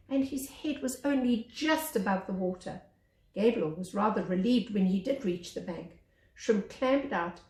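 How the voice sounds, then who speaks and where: A woman reading a children's story aloud as a teacher, with expressive narration. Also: medium pitch. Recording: echoey room.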